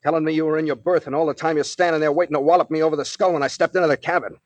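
Guy playing gruff bossy ship captain, old time radio, slangy, husky voice a bit high. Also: steady tone, racing, dry recording, natural voice. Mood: hard, accusing. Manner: blunt, commanding, slangy. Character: tough, brusque male sea captain adventurer. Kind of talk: 1940s radio drama acting. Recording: dry vintage 1940s radio recording.

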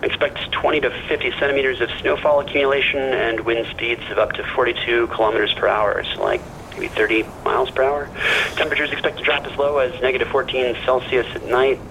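An actor as a bright, creaky-voiced worried friend. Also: male voice, mid-range voice, fairly even intonation, fast talker, slight background noise, lightly acted, rough recording. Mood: hesitant reporting. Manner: hesitant, fretful, wry. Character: worried male friend named Michael, cautious, dry-humored, on radio call. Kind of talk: naturalistic audio drama acting.

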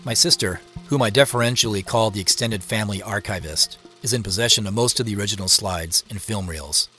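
Reflective American man in his forties, low voice, scripted first-person drama narration, music underneath. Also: fairly quick, pushed and bright. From Seattle, United States. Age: 40s. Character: reflective, curious grandson recounting a family mystery.

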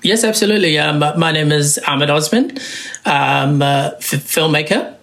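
A filmmaker in his thirties, from Somalia, answering interview questions in a relaxed way with many fillers. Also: a little high, normal speed, vocal fry. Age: 30s. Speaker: filmmaker guest.

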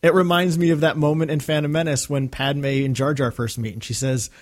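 A man's voice: a little high, level tone, brisk. A man co-hosting a podcast in casual fan discussion, enthusiastic and rambling. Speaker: podcast co-host.

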